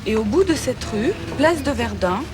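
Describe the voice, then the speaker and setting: A woman's voice: a little high, expressive, moderate pace, over music. A woman playing a polite tourist office clerk, giving directions in a scripted scene.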